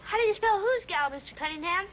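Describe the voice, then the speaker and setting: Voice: very high voice, animated, quick pace, a bit bright, muffled recording. A young performer playing an earnest, polite boy in a 1950s television western. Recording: noisy, distant old TV soundtrack.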